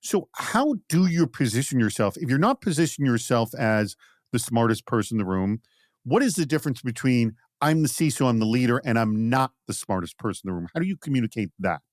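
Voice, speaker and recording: A man's voice: mid-range voice, expressive, brisk, dry recording, clean studio sound. A man hosting a podcast, interviewing guests conversationally with probing, lightly teasing questions. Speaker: podcast host.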